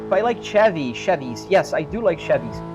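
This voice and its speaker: Man, Brazilian accent, fairly high voice, casual stream chat, rambling, music in the background. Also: big pitch swings, fairly quick, muffled recording. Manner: easygoing, rambling, restarts and hedges. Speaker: sim racing live streamer. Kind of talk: casual chat answering live chat. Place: home sim racing rig. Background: music playing.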